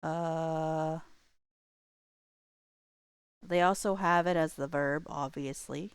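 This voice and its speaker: Woman, low pitch, slow pace, casual livestream chat, rambling, thinking aloud, dry close-mic sound. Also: clear, resonant tone, dry recording. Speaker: livestream host.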